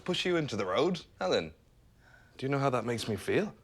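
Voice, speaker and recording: Casting: toxic boyfriend, very raspy mid-range voice, animated pitch, casually dismissive, guilt-tripping, naturalistic film comedy. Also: male voice, fairly quick. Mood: offended, accusing.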